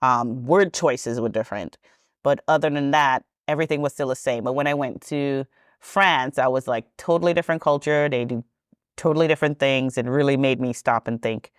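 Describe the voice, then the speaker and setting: A woman's voice: a bass voice, fairly quick, dry recording, studio quality. A woman, a podcast guest telling her story in a chatty, rambling way with many ums and self-corrections. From Trinidad and Tobago. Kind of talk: casual storytelling interview.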